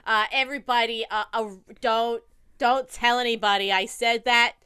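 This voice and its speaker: A woman's voice: fairly high pitch, fairly even intonation, moderate pace, sharp tone, smooth. A woman improvising a comedy scene over a video call, talking in a flustered, stammering way. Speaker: improv performer.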